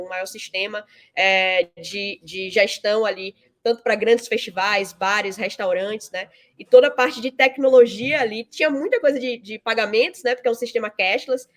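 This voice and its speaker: A woman's voice: medium pitch, steady tone, normal speed, hardly any pauses, a bit bright. A woman, giving conversational, explanatory interview answers peppered with tag questions. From Salvador, Bahia, Brazil. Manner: frequent 'né' tags, explanatory. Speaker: interview guest, fintech founder and CEO.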